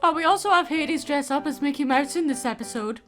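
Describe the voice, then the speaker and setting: A woman's voice: sits high, fairly quick, very noisy. A woman giving scripted video-essay commentary with wry, self-deprecating asides. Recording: music under the voice-over. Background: music.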